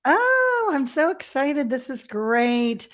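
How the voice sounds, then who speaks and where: A middle-aged woman from Ethiopia, telling personal stories as an interview guest in a candid, animated way with self-deprecating humour. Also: high voice, slow pace. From grew up in Ethiopia as a missionary kid. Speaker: podcast guest. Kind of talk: conversational personal storytelling.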